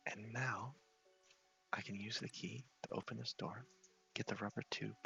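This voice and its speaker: A man giving soft, half-whispered ASMR commentary on a game playthrough, murmuring to himself and reading text aloud in an unhurried way. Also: lowish voice, fairly even intonation, gravelly, dull audio, faint music behind. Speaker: game streamer, video host.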